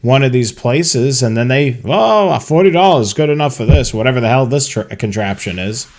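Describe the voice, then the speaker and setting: Casting: male co-host with an average-pitched voice, off-the-cuff and informal, halting in conversation. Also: animated, darkish tone. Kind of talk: casual chat.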